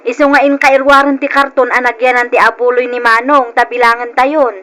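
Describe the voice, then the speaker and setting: An actress playing a grasping, insistent sister-in-law who makes a show of sympathy, in an old-time Ilocano radio drama. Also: female voice, on the high side, level tone, a little fast, pushed and bright.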